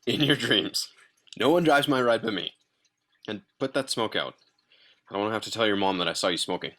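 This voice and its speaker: A woman reading the part of a gruff, protective seventeen-year-old older stepbrother at a table read. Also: a bass voice, animated, moderate pace, long pauses, fairly bright tone, full character voice. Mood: gruff, teasing.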